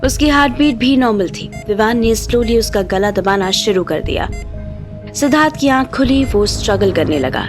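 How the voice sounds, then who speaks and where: A woman's voice: on the high side, lively intonation, moderate pace. A woman reading scripted narration as the storyteller of an audio drama. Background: background music.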